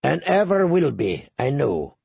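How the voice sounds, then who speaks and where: A man's voice: on the high side, wildly swooping pitch, measured pace, vocal fry, darkish tone, slightly rough, dry recording, heavily acted. A man voicing an earnest, courteous young lord in an audiobook. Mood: tender.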